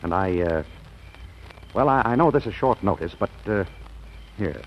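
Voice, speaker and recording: An actor playing an exasperated, blustery husband who stammers when flustered, in 1940s radio style with a husky voice. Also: male voice, low-pitched, lively intonation, normal speed, a little hiss or hum. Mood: awkward, conciliatory. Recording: dull vintage radio recording.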